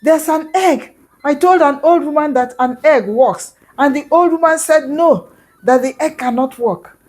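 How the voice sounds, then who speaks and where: Folktale tortoise, played by a woman: boastful, sly trickster, Nigerian accent, high voice, showy and exclamatory delivery. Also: measured pace, a little mellow. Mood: excited, boastful.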